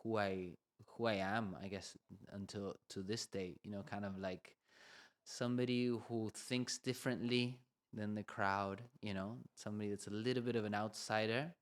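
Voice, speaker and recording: A man's voice: fairly low pitch, steady tone, moderate pace. A young Argentine man co-hosting a podcast, telling his story in a loose, rambling way full of "you know" fillers. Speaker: podcast co-host. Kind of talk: casual conversational storytelling.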